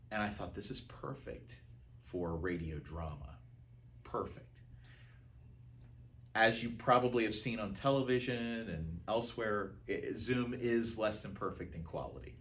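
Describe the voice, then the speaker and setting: A man's voice: lowish voice, fairly bright tone, a little hiss or hum. An American man giving a conversational interview account as a theater art director, telling it like a storyteller.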